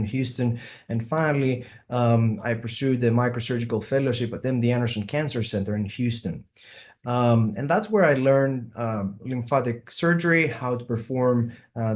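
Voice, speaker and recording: A middle-aged man from Greece with a Greek accent, a surgeon giving an online symposium talk and often pausing with ums and uhs. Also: medium pitch, darkish tone. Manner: hesitant, many ums and uhs. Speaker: plastic surgeon presenting.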